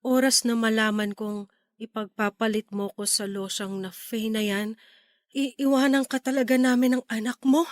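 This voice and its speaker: A woman's voice: a little high, fairly even intonation, normal speed, no echo, studio quality, natural voice. A woman playing a hurt, accusatory betrayed lover in an emotional radio drama. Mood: suspicious. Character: betrayed female lover, hurt, furious, confrontational.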